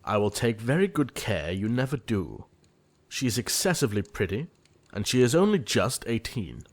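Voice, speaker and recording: An actor playing an earnest, fussy young gentleman in a comedy audio play. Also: mid-range voice, very animated, moderate pace. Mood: peevish. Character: earnest, easily exasperated young English gentleman, lovesick.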